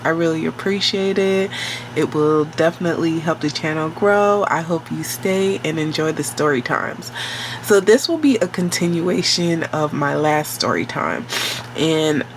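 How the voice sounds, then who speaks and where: Slow nasal New storyteller. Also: mid-range voice, lively intonation, a little hiss or hum, poor audio. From New York City. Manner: slow, rambling and conversational, with many fillers and self-corrections. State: nasal from a cold. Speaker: storytime vlogger. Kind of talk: casual storytime monologue.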